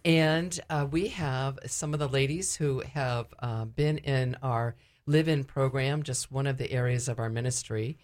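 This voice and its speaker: A woman speaking as a ministry director and guest in a conversational radio interview, explaining things a little haltingly. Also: a bass voice, darkish tone.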